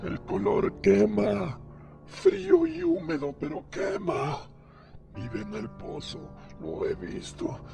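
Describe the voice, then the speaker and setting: A man voicing a dying, horror-stricken farmer in an audio horror story, murmuring haltingly in a cracked, strained voice. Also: high-pitched, huge pitch swings, creaky, pitched above the performer's own voice, heavily acted. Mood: agonized, dreadful. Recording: loud music behind. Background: loud music.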